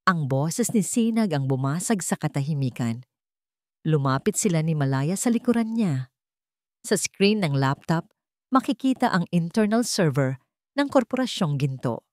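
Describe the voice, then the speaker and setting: Low-voiced woman narrating with lively intonation. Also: normal speed, dry recording, clean studio sound. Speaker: story narrator. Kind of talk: scripted dramatic narration of a love story.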